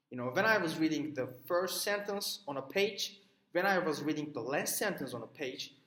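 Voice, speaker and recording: A man's voice: on the high side, a bit bright. Hesitant Turkish man in an echoey room. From Turkey. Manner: many "uh" fillers and restarts, explaining with personal examples. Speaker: student presenting a reading assignment. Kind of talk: hesitant spoken summary for a class video.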